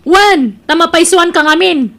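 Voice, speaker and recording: A woman playing a fed-up, quarrelsome wife in an audio drama, scolding and sharp-tongued, with an Ilocano accent. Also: extremely high pitch, a little slow, exaggerated voice.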